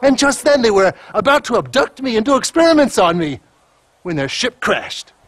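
A man's voice: very high voice, very animated, moderate pace, a bit bright, slight background noise, exaggerated voice. A man playing an eccentric, paranoid UFO conspiracy nut in a video game, speaking in a jittery, earnest, pseudo-scientific manner. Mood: manic, earnest. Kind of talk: video game cutscene dialogue.

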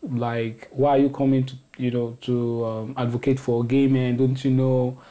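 A man's voice: medium pitch, flat delivery, normal speed, mellow. A man with a Nigerian accent in his forties, answering interview questions earnestly at home. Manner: earnest, emphatic about his identity. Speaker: interviewee, activist. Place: indoors at home, kitchen.

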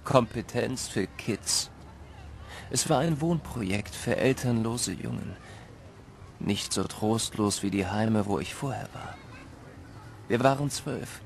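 An actor playing a grown man wistfully recalling his orphan boyhood in a measured, reflective radio drama narration. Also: on the low side, creaky, slight background noise, full character voice.

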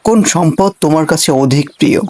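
A man's voice: fairly high pitch, fast talker, darkish tone, lightly acted. A man playing a solemn, formal royal guard in disguise in a cartoon fable. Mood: solemn questioning.

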